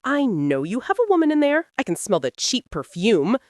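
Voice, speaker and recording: A woman's voice: a little high, very animated, normal speed, darkish tone, no echo, studio quality, voice pushed up. Woman acting a drawling, haughty, cold noblewoman. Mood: scornful, impatient. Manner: drawling, scornful, menacing.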